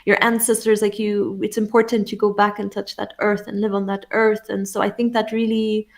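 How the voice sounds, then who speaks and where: Soft reflective woman guest, laughs a lot, mid pitch, easygoing. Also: level tone, moderate pace, vocal fry. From England. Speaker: interview guest, shamanic teacher. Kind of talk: casual interview conversation.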